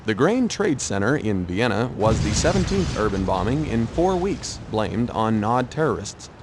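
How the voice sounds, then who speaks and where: A man's voice: on the low side, very animated, normal speed. A man playing a grave television news anchor reading a scripted bulletin in a video game. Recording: noisy TV broadcast.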